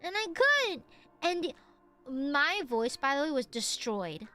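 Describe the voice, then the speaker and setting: A woman's voice: high-pitched, big pitch swings, slow pace, pushed and bright, soft background music. A woman streamer with a nasal voice, chatting casually with her viewers, rambling, giggling and swearing freely.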